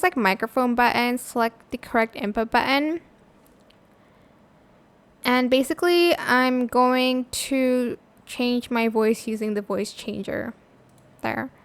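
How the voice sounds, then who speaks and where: A woman hosting a casual software tutorial, talking in a chatty way with plenty of "like" and "um" fillers. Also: on the high side, smooth. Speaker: tutorial channel host. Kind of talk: casual screen-recording tutorial.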